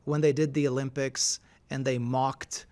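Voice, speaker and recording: A man's voice: medium pitch, level tone, a little slow, darkish tone. A man co-hosting a podcast, who argues his opinions in a casual, emphatic and insistent way. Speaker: podcast co-host.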